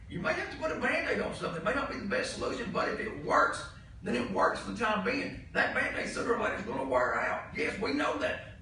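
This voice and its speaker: Fed up southern guy arguing at a county meeting, gravelly and bright, kinda far from the mic. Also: high voice. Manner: exasperated, blunt, folksy, repeats points emphatically. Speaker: county commissioner. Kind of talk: heated speech at a public meeting. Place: indoor public meeting room. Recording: noisy, very echoey meeting room, distant mic.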